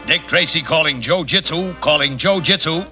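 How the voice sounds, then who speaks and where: An actor voicing a confident male police detective hero in a 1960s TV cartoon, with a crisp, commanding delivery. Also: a little high, normal speed, sharp tone. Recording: faint music under dialogue.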